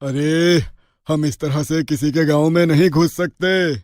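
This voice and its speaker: A man plays an earnest village father in an animated story. His voice sits a little high and is expressive, recorded dry and close. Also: unhurried, dry recording, lightly acted. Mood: worried. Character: worried ordinary village father.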